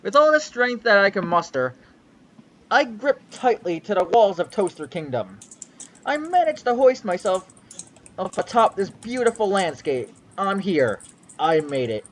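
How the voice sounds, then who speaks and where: A man's voice: high voice, very animated, unhurried, fairly bright tone. A young man giving a mock-dramatic reading of a fanfic on a livestream, with a theatrical, sweeping storyteller delivery. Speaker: reader on a livestream.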